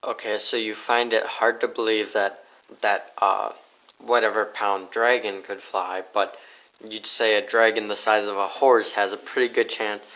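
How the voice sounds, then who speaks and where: A man's voice: lowish voice, little pitch movement, unhurried, pushed and bright, low-quality recording. A man as a podcast guest in casual debate, speaking in a skeptical, blunt, sardonic manner. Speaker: podcast guest answering questions.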